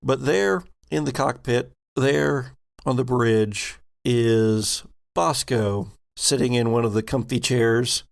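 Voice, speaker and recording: A man acting as game master, narrating a tabletop roleplaying game in a halting, drawn-out way. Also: medium pitch, vocal fry.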